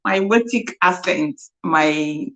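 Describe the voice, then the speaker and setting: A young Nigerian woman with a lowish voice chats slowly and easily on a livestream. Igbo is her first language, and her English carries a clear Nigerian accent. She laughs often and repeats words over and over as she sounds them out. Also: lively intonation, fairly bright tone. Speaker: livestream host. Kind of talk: casual livestream chat.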